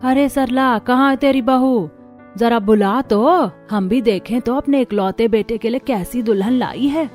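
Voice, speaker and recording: A woman's voice: on the high side, measured pace, darkish tone, soft background music, close to an everyday voice. A woman voicing a curious, gossipy middle-aged neighbour. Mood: nosy.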